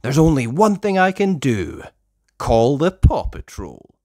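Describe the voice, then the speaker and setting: A reader doing a grateful shopkeeper man, animated. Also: medium pitch, measured pace, dry recording, clean studio sound. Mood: resolute. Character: grateful adult male shopkeeper. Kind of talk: children's storybook character voice.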